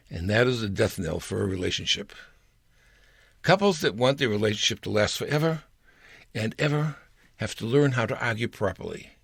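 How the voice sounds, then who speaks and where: A man's voice: medium pitch, creaky, fairly bright tone, slightly rough, no echo, studio quality. An older man reading his own humorous personal story aloud, as a scripted piece.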